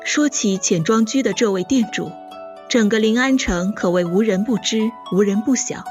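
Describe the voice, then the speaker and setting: A woman's voice: medium pitch, moderate pace, darkish tone, close to an everyday voice. A woman playing a knowing storyteller in a period audio drama, giving a scripted account. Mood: knowing, expository. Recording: slightly dull, lo-fi audio. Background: faint plucked music.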